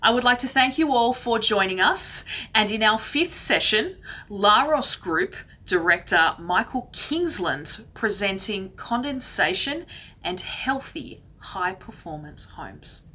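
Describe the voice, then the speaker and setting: A woman's voice: medium pitch, expressive, slow pace, sharp tone. A woman hosting an online professional training day, reading formal housekeeping announcements from a script. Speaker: event host.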